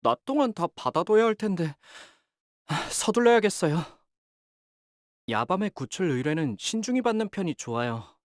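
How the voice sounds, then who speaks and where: Expressive, anxious young male fixer. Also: on the high side, no echo, studio quality. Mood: earnest. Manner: polite, soft-spoken, flustered under pressure. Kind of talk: expressive game character voice lines.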